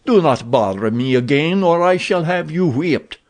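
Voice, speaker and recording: A man voicing a scornful, sneering male captor who speaks slowly and loudly. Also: a little high, expressive, pitched above the performer's own voice.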